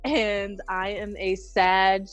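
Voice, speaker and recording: A woman's voice: mid-range voice, level tone, drawn-out words, muffled recording, soft background music. A woman in her twenties from South Jersey co-hosting a casual podcast in a laid-back, joking manner. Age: 20s. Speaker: podcast co-host. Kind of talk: casual group podcast chat.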